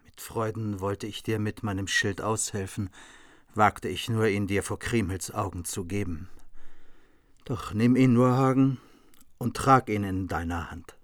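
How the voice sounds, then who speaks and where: An actor playing an honourable, generous, sorrowful knight in a German radio drama, gentle and earnest. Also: male voice, on the low side, measured pace, no echo, clean studio sound, lightly acted.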